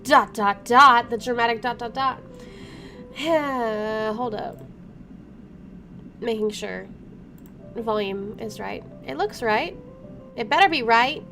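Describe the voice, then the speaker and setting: A woman streamer with a slightly high voice narrates a game. She reads the text aloud and cuts into it with informal, wry remarks. Music plays clearly behind her. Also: takes it slowly, pauses often, pushed and bright. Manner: wry, informal, self-interrupting. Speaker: gaming streamer. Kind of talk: let's play, reading game text aloud with casual asides. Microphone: microphone placed to one side.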